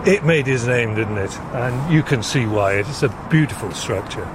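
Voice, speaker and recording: An older man from South Wales, explaining things in a measured way as an interview guest. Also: medium pitch, expressive. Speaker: interview guest, retired nuclear engineer. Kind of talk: explanatory conversation. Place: outdoors walking in a gorge. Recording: outdoor recording with clear traffic noise. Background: road traffic and outdoor ambience.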